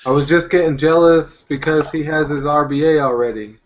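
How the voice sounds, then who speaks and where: A man's voice: on the high side, brisk, highs cut off, noisy background. A man co-hosting a casual live show, chatty and rambling, with lots of fillers as he recalls details.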